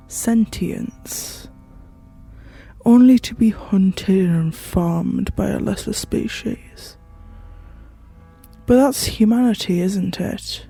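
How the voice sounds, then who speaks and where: Slow, dark-toned creaky horror narrator. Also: medium pitch. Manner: measured, musing, slightly formal and theatrical. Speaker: narrator and host of an audio horror fiction show. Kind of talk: scripted horror narration, addressing the listener. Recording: music behind the voice. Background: background music.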